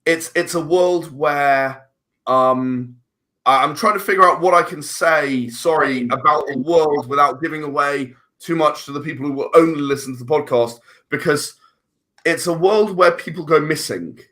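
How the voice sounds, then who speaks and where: Guy on a podcast, bright voice, kinda rambles and repeats himself looking for words. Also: on the high side. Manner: halting, rambling, repeats words as he searches for phrasing. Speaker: interview guest, filmmaker and audio drama writer. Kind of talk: casual podcast conversation.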